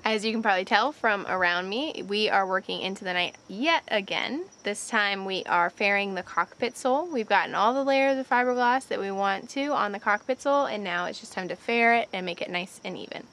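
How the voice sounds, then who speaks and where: A woman's voice: mid-range voice, brisk, few pauses, a bit bright. An American woman in her thirties chatting casually as co-host of a vlog. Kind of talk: casual chat. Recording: ambient noise behind voice.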